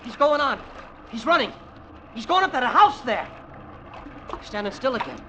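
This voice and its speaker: A very high-voiced, agitated shipwrecked boatman in an old radio drama. His sarcastic, bitter delivery swings widely up and down. The old recording has clear background noise. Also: big pitch swings, normal speed, bright, forceful tone, exaggerated voice. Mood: excited, urgent. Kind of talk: 1940s-50s radio acting.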